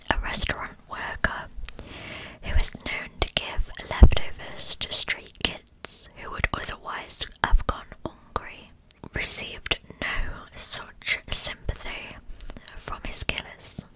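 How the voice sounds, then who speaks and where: A woman whispers scripted narration in an ASMR style. Her voice is fully unvoiced and breathy, and she takes her time over each phrase, keeping the storytelling hushed and deliberate throughout. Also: rough recording. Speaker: ASMR true-crime narrator.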